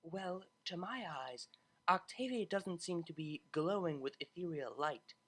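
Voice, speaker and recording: A narrator voicing a tactful pony art teacher in an audiobook, speaking carefully and quietly with gentle hesitation. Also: mid-range voice, animated, a little slow. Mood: hushed, tactful. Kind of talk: audiobook character voice.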